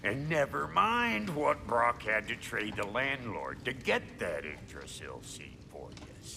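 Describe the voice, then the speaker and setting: A gruff foul-mouthed dwarf smith. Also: male voice, mid-range voice, sing-song, takes it slowly, big-room sound, music in the background, full character voice. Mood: sardonic. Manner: coarse, drawling, cocky.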